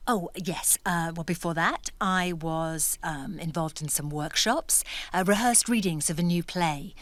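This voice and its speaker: A woman's voice: fairly low pitch, measured pace, fairly bright tone. A woman playing an articulate, confident actress at an audition, polite but pointedly questioning, in naturalistic audio drama. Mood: chatty. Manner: polite, hesitant fillers, then pointedly questioning.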